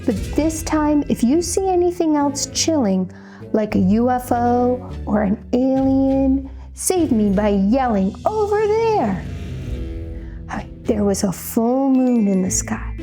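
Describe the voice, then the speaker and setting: Woman playing a high, jittery, slow-paced storybook narrator. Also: mellow. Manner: theatrical, addresses listener directly with questions. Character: jittery, easily spooked storybook narrator warning the listener. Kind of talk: dramatic children's read-aloud.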